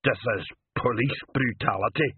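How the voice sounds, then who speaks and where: A man's voice: mid-range voice, moderate pace, slightly rough, no room sound, phone-quality sound. A man voicing a grumbling, cowed troll potter in an audiobook, muttering sullen protests in broken grammar.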